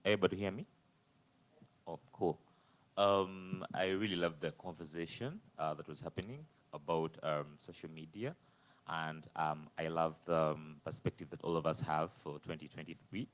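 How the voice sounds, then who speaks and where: A young man from Uganda with a very deep, low voice. He asks his question from the audience hesitantly, pausing on fillers and restarting his sentences. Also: rough recording. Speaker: audience member asking a question. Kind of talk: conference floor question.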